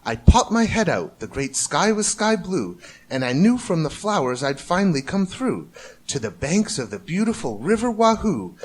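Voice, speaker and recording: A man with a slightly high voice reads a rhyming storybook aloud. His delivery is theatrical and rhythmic, with very lively swings in pitch. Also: normal speed, low-quality recording. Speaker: amateur storybook reader. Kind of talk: expressive rhyming story narration.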